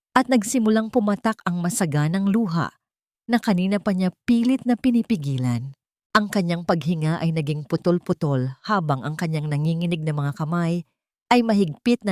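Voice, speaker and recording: A woman's voice: fairly low pitch, darkish tone, no echo, clean studio sound. A woman with a Filipino accent reading a scripted, dramatic story as a storyteller.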